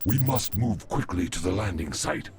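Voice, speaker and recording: An actor playing a grave, loyal right-hand man in a video game, gravelly, with formal, businesslike speech. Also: lowish voice, lively intonation, moderate pace, a little mellow, slight background noise. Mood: urgent, dutiful. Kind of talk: dramatic video game acting.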